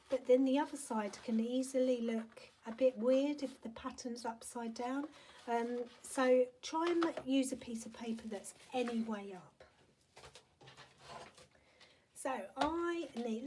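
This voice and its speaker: A woman with a croaky, hoarse voice giving a chatty, friendly craft-along tutorial at home, with lots of ums. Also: a little high, dark tone, slight background noise, low-quality recording. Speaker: paper craft demonstrator and product seller.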